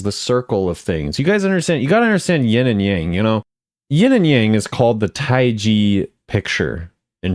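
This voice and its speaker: A man's voice: lowish voice, no echo, studio quality. A man giving casual chatty commentary as a video creator, often repeating phrases for emphasis. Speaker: video creator ranking game voice lines.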